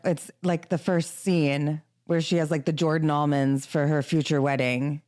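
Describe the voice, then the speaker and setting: A woman's voice: low voice, steady tone, normal speed, a little mellow. A woman co-hosting a podcast in a casual, informal conversation about books. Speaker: podcast co-host. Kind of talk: casual book-discussion chat.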